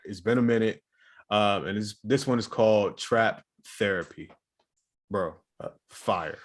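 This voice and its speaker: A man's voice: on the low side, unhurried. A man co-hosting a podcast, chatting casually in slangy, laid-back banter. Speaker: podcast co-host. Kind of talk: casual chat.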